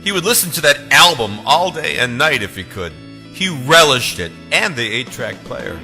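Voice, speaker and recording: Man narrating dramatically, very bright forceful voice. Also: mid-range voice, very animated. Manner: theatrical, emphatic delivery with dramatic pauses. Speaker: author reading own novel. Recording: long reverb, faint music behind.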